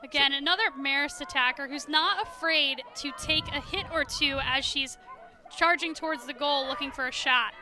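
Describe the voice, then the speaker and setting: High-voiced woman doing sports color commentary. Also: normal speed, slight background noise. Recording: loud crowd and music behind. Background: game crowd and music.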